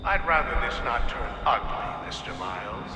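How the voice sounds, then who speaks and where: An actor playing a smug, cold corporate villain in a video game, with a condescending, sardonic manner. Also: male voice, mid-range voice, lively intonation, moderate pace, heavy background noise, natural voice. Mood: cold warning. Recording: dull sound under loud music.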